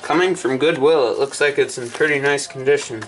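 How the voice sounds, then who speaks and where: A man casually narrating an unboxing and setup in halting, short muttered phrases. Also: medium pitch, noisy background. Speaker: hobbyist video maker.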